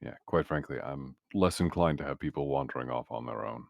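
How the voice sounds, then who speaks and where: Deep voiced guy playing a tabletop rpg, dry close sound, wry and sardonic, casual. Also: a little fast, gravelly, dry recording, highs cut off. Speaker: tabletop roleplaying game player. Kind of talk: casual in-character table talk.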